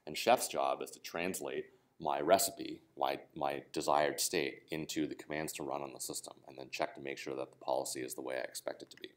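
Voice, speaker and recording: A man's voice: very deep voice, fairly even intonation, brisk, few pauses. A man giving a casual, explanatory technical talk at a conference, often checking in with "right?". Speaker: conference speaker. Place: conference room.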